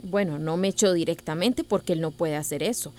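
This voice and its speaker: A woman's voice: fairly low pitch, brisk, a little mellow, lightly acted. An actress playing a proud, stubborn young woman schoolteacher in a radio soap opera. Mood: hurt, defiant. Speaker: actor in a radio drama.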